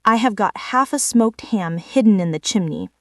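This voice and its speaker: A woman voicing a bossy female rat in a children's storybook, brisk and argumentative. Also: medium pitch, animated, no room sound, studio quality, recorded from a distance, natural voice.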